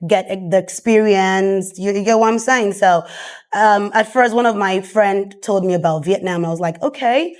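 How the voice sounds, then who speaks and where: A woman's voice: medium pitch, normal speed. Chatty Nigerian woman with many fillers. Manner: relaxed, chatty, many fillers, quotes others. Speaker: salon owner. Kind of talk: casual interview.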